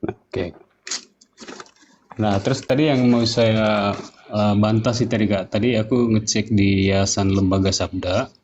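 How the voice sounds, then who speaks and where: The recording is muffled, with noise and keyboard clicks behind it. A man with a lowish voice talks casually and a little tentatively, asking questions as he joins an online discussion. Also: steady tone, a little slow, darkish tone. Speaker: debate participant.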